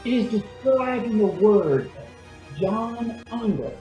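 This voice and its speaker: Female radio-drama announcer: theatrical, unhurried, big swoops in pitch, very echoey room. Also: lively intonation, dark tone. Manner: theatrical, deliberate. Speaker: announcer and narrator. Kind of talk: dramatic scripted radio introduction. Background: music.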